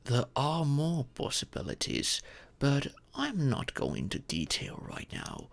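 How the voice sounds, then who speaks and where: A man voicing a gentle, formal dragon who has taken in a child, speaking in a measured, theatrical way for an audio roleplay. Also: mid-range voice, huge pitch swings, gravelly, slightly rough, full character voice. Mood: genial, explanatory. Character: gentle, stately dragon adopting a sacrificed child. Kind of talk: audio roleplay addressed to the listener.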